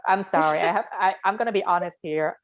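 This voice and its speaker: A young woman with a Vietnamese accent and a fairly low voice talks in a casual conversation. She is frank and animated, and she states her opinions firmly. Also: fast. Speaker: interviewee.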